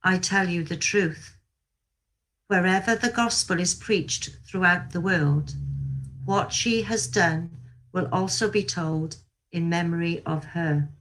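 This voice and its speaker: A woman reads scripture as scripted narration. She takes it slowly, in a voice a touch lower than most women's, heard over a video call. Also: a bit bright, low-quality recording. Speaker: scripture reader. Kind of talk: Bible reading, scripted narration.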